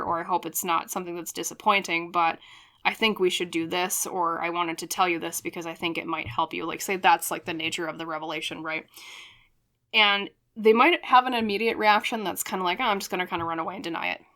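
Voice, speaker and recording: A woman's voice: on the low side, sharp tone. A woman hosting a tarot reading in a casual, conversational way, talking reflectively and ramblingly straight to her viewers. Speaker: tarot reader and channel host.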